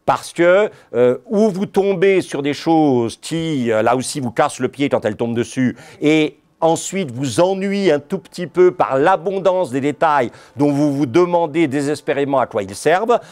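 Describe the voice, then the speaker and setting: A man's voice: on the high side, a little mellow. A middle-aged man from France giving a lecture on history, digressive and ironic, dropping frequent asides to his audience. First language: French. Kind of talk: university-style history lecture. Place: lecture hall.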